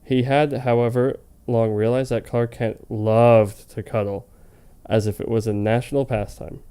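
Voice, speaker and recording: A man's voice: mid-range voice, flat delivery, a little slow, mellow, smooth. A man reading fan fiction aloud in an informal, comedic way, tripping over words now and then and prone to joking asides. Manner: breaks into laughter easily. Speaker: reader of fan fiction for a comedy web series.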